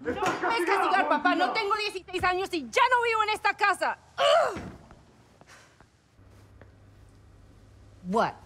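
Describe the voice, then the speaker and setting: A woman's voice: very high voice, very animated, background noise. An actress playing a hot-tempered but caring young daughter in a video game, stressed and emotional in her delivery. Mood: angry. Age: young adult. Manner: emotional, quick to bristle. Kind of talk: video game cutscene acting.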